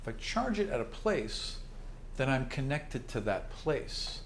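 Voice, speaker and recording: A man runs a tabletop game as game master, describing scenes off the cuff in a mid-pitched voice. The recording is roomy, with a faint layer of noise behind him. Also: sing-song, unhurried. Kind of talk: improvised narration of a tabletop roleplaying game.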